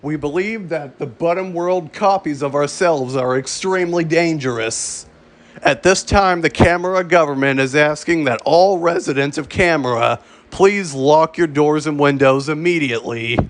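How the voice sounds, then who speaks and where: A man reading a scripted mock emergency broadcast as an official announcer, halting and repeating phrases as he goes. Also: on the high side.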